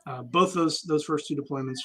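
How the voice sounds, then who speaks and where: A man's voice: on the high side, a little fast, sharp tone, slightly rough. A man, an army veteran guest, telling stories candidly and reflectively in a casual interview, with frequent ums.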